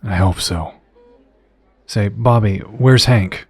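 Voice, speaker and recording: An actor playing a troubled, dutiful superhero team leader in an audio drama, subdued and serious. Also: male voice, fairly low pitch, slow pace, husky, dry recording, heavily acted. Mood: subdued worry.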